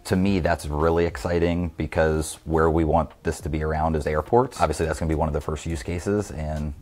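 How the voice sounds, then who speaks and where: A man in his thirties, a guest on a video call, explaining in a measured casual way with many fillers. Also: very deep voice, fairly even intonation, darkish tone. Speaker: guest commentator. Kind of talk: casual interview talk. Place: home room on video call.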